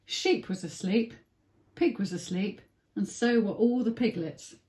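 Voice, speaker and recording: A woman's voice: mid-range voice, unhurried, dark tone. A woman reading a picture book aloud to young children with simple phrasing and dramatic emphasis. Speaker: early years story reader.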